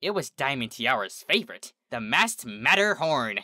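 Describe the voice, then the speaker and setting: An expressive storyteller reads comedic fiction in a bright, forward voice. The pitch moves around in an animated way. The sound is close and dry, with music playing underneath. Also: moderate pace, no echo. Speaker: story reader. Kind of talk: scripted story narration of a comedy fan fiction.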